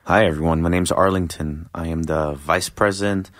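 A man's voice: sits low, fairly even intonation, moderate pace, no room sound, clean studio sound. A young man co-hosting a campus radio show, giving a friendly welcome in a casual way. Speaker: radio co-host, student union vice president.

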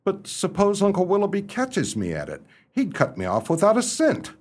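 A man playing a genial, dithering young upper-class gentleman in a radio play, who narrates and stammers when pressed. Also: high-pitched, huge pitch swings, moderate pace, a little hiss or hum. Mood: flustered. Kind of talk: radio play acting with first-person narration.

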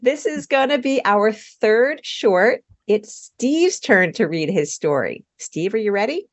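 A woman hosting a podcast, introducing the episode in an upbeat, clearly explaining way. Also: mid-range voice, lively intonation, slow pace, lo-fi sound. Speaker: podcast host. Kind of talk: scripted show introduction.

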